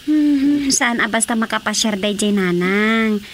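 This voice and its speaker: A woman's voice: a little high, a little slow, a little hiss or hum, close to an everyday voice. A woman with an Ilocano Filipino accent, acting a sassy, scolding young woman in a radio comedy drama. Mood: chatty, concerned.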